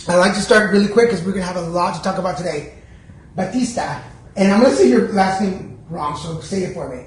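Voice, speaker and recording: Chatty man hosting in an echoey room. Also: high-pitched. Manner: relaxed, chatty, frequent fillers. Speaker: podcast host. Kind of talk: casual interview chat. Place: guest's studio. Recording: echoey studio room, faint noise.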